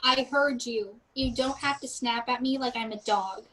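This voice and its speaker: An overworked waitress, played by a woman in a comic script reading. She is blunt and sarcastic, with a high voice and no patience for rude customers. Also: very animated, takes it slowly, a bit bright, exaggerated voice, low-quality recording. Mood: sarcastic, annoyed. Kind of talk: comedic live play reading.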